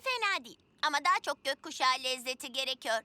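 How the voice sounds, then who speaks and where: A woman's voice: sits high, expressive, quick pace, very noisy. A woman voicing a vain, pampered young princess in a children's cartoon, pompous and theatrical. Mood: fussy. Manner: pompous, theatrical, self-admiring. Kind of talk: animated children's cartoon acting. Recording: music behind the voice.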